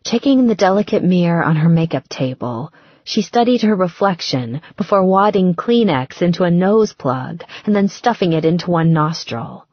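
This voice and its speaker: A woman with a fairly low, husky voice reads scripted fiction. Her storytelling is expressive and measured, and the recording sounds slightly muffled and roomy. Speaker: audiobook narrator. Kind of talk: scripted romance fiction narration.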